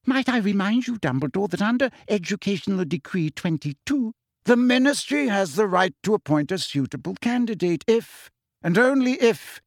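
A man voicing a calm, courteous old male wizard headmaster who speaks pleasantly but firmly. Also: sits high, normal speed, dry recording, clean studio sound, pitched above the performer's own voice.

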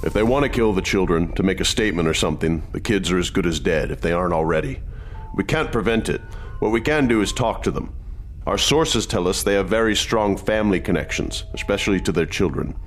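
An actor playing a calm, seasoned male military sergeant who negotiates in a measured, polite way. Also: sits low, soft background music. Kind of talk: audiobook dialogue.

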